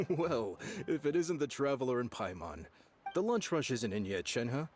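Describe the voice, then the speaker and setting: A man's voice: mid-range voice, sing-song, moderate pace, a little mellow, close to an everyday voice. A man playing a hearty, easygoing chef in a video game, genial and folksy. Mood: welcoming. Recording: music playing behind.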